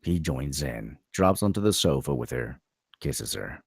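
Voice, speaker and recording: A man with a gravelly voice narrating the stage directions of a film script at a table read. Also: a bass voice, lively intonation, unhurried, no room sound, clean studio sound.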